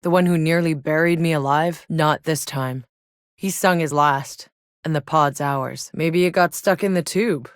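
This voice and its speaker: A woman voicing a tough, wry female soldier in a sci-fi video game, with gruff, sardonic, casual swagger. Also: low voice, a little slow, no echo, studio quality.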